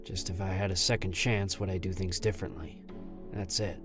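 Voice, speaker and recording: Man playing a deep-voiced, earnest dog-man fighter. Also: steady tone, a little fast, music in the background, lightly acted. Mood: earnest, subdued. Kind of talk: dramatised fantasy audio story.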